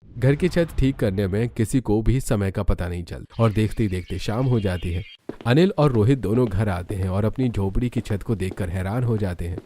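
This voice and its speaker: A man's voice: lowish voice, normal speed, dark tone, a little hiss or hum. A man reading scripted narration for a cartoon story.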